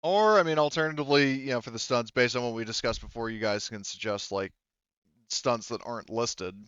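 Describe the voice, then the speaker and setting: A man running a tabletop roleplaying game, improvising narration and explaining options in a halting, rambling way. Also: medium pitch, fairly bright tone, clear, resonant tone, dry recording, dull audio. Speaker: game master.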